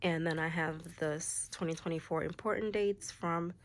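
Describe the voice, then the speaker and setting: A young woman with a slightly low voice and a stuffy, nasal sound from a cold talks through her planner pages in a relaxed, chatty way. She drops in the odd "like" and "um" as she goes. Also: moderate pace, slight background noise. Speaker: planner vlogger. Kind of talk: casual show-and-tell planner walkthrough.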